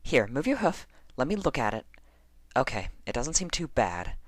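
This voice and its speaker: Casting: male narrator playing bossy, caring female pony for an audio story; raised pitch, lively swings, sarcastic and brisk. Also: dry recording, clean studio sound. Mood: scolding. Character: practical, scolding, caring female pony housemate.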